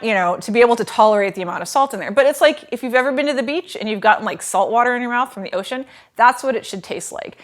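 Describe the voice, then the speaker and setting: Woman in her thirties, cooking show host, chatty, animated, home kitchen, clip-on mic. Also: mid-range voice. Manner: chatty and animated, lots of "like" and gestures. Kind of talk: casual cooking lesson to camera.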